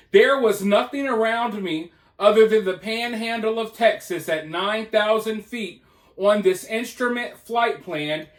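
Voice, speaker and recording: A man's voice: sits high, a bit bright. A man narrating a scripted news report in a roomy, echoing recording.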